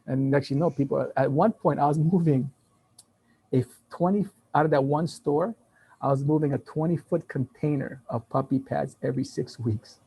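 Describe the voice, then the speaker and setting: A fifty-year-old man whose first language is Taiwanese, talking as a guest in a relaxed interview. His voice is dark and husky with a gravelly edge. He tells stories with animated energy, restarting phrases and laughing as he goes. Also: fairly high pitch, moderate pace, rough recording. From Taiwan and Hawaii. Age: 50s. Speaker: guest, business owner. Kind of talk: casual interview talk.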